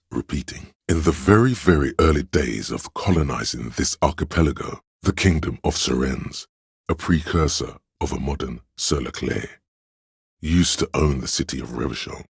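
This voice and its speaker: An actor playing a pedantic encyclopedic inner voice in a video game, a man reciting obscure facts in a measured, erudite, matter-of-fact way. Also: no room sound, studio quality, full character voice. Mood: scholarly, dry. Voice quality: very deep, gravelly, husky. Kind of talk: scripted game narration of trivia and facts.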